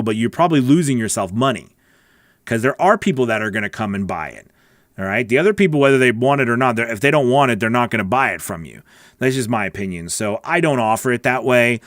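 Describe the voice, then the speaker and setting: A middle-aged man hosts a casual question-and-answer stream in a conversational, direct way. His voice sits at an average male pitch. It is captured close on a broadcast mic in a small home studio, with very little room sound. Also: a bit bright, dry recording. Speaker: host, voice-over coach. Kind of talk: casual livestream Q&A chat. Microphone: close broadcast mic on boom arm.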